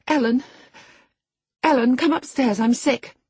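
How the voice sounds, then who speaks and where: A woman voicing a distraught young girl, pleading breathlessly in a high voice. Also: unhurried, darkish tone, breathy edge, no room sound, full character voice.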